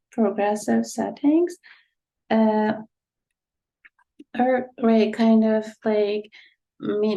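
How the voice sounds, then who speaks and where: A middle-aged woman from Turkey speaks with a Turkish accent. Her tone is mellow and warm, and her pitch sits in a middle range. She thinks aloud as she answers, hedging and filling her pauses. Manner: reflective, many fillers and hedges. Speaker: author and guest speaker. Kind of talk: academic Q&A answer.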